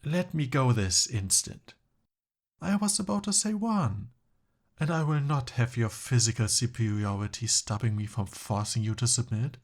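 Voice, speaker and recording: A man's voice: mid-range voice, lively intonation, normal speed. A man playing a pompous young human prince in an ASMR roleplay, speaking formally but flustered and pleading. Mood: indignant.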